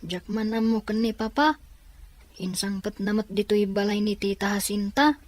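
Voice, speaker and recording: A woman playing a cautious, quietly hurt orphaned older sibling in an audio drama, with a hesitant manner. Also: medium pitch, level tone, normal speed, close to an everyday voice. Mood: resigned. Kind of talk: Ilocano audio drama acting.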